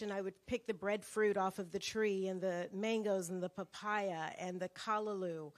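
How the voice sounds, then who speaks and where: A middle-aged woman sharing a personal story at a book club, speaking in a reflective, halting way on a handheld mic. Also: mid-range voice.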